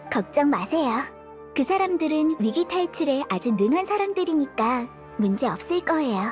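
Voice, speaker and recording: An actress playing a sweet, polite, determined young dancer in a video game, gentle and courteous. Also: female voice, sits high, very animated, moderate pace, faint music behind. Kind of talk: video game dialogue acting.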